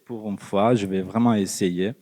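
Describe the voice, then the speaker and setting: An Italian-accented man delivers a read-out lecture in a reverberant conference hall. His voice is dark and mellow, and he speaks carefully, pausing and correcting his own words. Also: lowish voice, fairly even intonation. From Italy. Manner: careful, with frequent hesitations and self-corrections. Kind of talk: reading a prepared academic talk.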